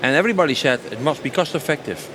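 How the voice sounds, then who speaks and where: A man's voice: a little high, expressive, very fast. A man gives spontaneous, enthusiastic interview answers as a company sales director, stressing and repeating his phrases. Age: 50s. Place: trade show stand. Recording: noisy busy exhibition location, clear background noise.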